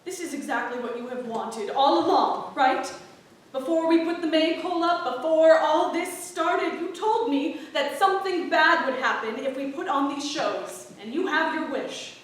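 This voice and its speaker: An actress playing a sharp-tongued, bitter small-town wife in a staged reading of an English period play. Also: female voice, high-pitched, normal speed, natural voice. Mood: bitter, accusing. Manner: sarcastic, bitter, needling. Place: indoor playhouse before an audience. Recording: very echoey theater, distant mic.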